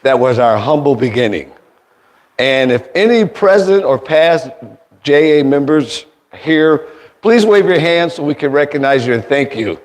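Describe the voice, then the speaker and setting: A man with a cold, his voice raspy, giving a formal, courteous welcome speech as chairman at an indoor event. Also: on the high side, lively intonation, measured pace, a little hiss or hum. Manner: courteous, thanking people one by one. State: getting over a cold. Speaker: foundation board chairman. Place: indoor museum event space. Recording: long hall reverb.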